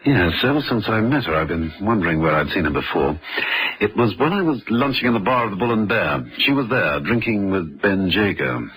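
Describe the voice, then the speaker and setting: Radio play: witty, urbane British connoisseur, fairly low voice, very lively intonation. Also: male voice, slight background noise, low-quality recording. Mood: musing. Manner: urbane, teasing, drawling pauses. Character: suave, witty gentleman adventurer and wine connoisseur. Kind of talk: vintage radio drama acting.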